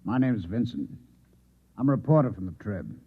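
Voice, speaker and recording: An actor playing a cold, controlling Martian agent posing as a reporter, speaking formally and with quiet menace in an old-time radio drama. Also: male voice, fairly low pitch, big pitch swings, moderate pace, breathy edge, dry recording, heavily acted. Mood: probing, insinuating. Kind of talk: golden-age radio drama acting. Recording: vintage radio broadcast, narrow phone-like band.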